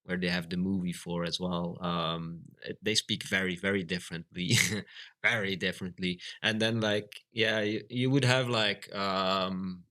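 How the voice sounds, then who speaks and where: A Flemish man from Belgium whose first language is Dutch, chatting casually on a live stream, halting and full of fillers. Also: low voice, fairly even intonation, pushed and bright. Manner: halting, lots of fillers and restarts. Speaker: livestreamer.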